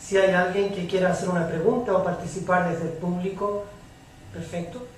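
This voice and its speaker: A very echoey recording of a man chairing a panel debate, with faint noise behind him. He moderates in a halting way, often pausing mid-sentence. His voice sits high for a man. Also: level tone. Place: public debate hall with audience. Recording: very echoey hall, distant mic, faint noise.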